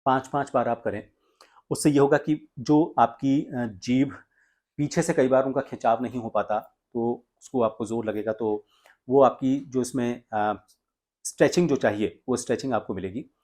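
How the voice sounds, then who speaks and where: An Indian man speaks as a voice coach in an instructional tutorial. His voice sits at a middle pitch. He explains each step deliberately, often stopping mid-sentence before carrying on. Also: a little mellow. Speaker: voice coach and presenter.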